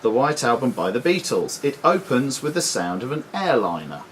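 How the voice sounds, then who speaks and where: An Englishman works as quizmaster at a live club quiz, with clear noise around him. His voice sits at a middling pitch as he reads questions in a deliberate, formal way and hands out brisk rulings. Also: sing-song. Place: sports and social club room.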